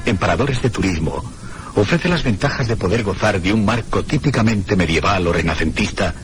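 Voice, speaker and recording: Actor as a low-voiced, formal gentleman narrator. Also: lively intonation, soft background music, natural voice. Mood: reflective, grave. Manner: measured, literary. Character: reflective, formal gentleman narrating his mysterious journey. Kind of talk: scripted first-person radio drama narration.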